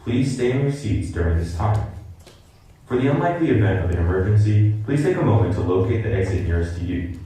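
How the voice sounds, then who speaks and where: A man's voice: fairly low pitch, sing-song, brisk, warm tone. A man giving a scripted pre-show announcement to welcome people in a theater. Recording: very echoey, distant, faint noise.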